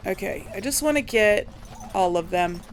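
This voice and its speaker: A woman's voice: mid-range voice, lively intonation, normal speed, slight background noise. A woman doing casual video game commentary, musing aloud and questioning herself as she plays. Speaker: let's play host.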